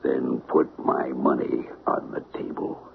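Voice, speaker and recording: A man playing a crafty, smooth-talking diamond merchant in a radio mystery, with measured, deliberate, courteous speech. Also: very deep voice, level tone, heavily acted. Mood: cold menace. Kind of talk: old-time radio mystery acting. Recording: muffled vintage radio broadcast, faint hiss.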